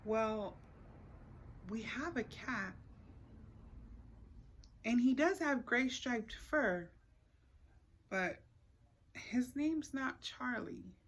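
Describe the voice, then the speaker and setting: A woman reading a storybook, voicing a polite but firm neighbor lady who owns a cat, in a measured, matter-of-fact way. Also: a little high, expressive, breathy edge, full character voice.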